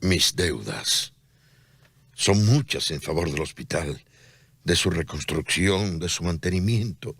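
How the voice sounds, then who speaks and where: An actor playing an ailing, humble and devout friar, earnest and solemn, in a religious radio drama. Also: male voice, low voice, animated, slow pace, vocal fry, a bit bright, slightly rough, no room sound, heavily acted. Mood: grave, resigned. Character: ailing, humble, devout hospital founder, self-sacrificing friar.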